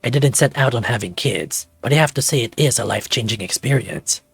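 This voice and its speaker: A man's voice: medium pitch, fairly quick, vocal fry, fairly bright tone, breathy edge, no echo, soft background music, heavily acted. A man voicing a middle-aged adoptive father who banters in a stubborn, teasing way, in a visual novel. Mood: teasing, fatherly.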